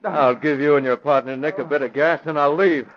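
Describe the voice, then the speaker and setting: An actor playing a tough, commanding masked vigilante in a 1940s American radio drama, giving forceful, clipped orders. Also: male voice, a little high, steady tone, a little fast, natural voice. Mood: scornful. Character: masked vigilante crime fighter, tough, commanding, also a son. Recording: dull vintage radio recording.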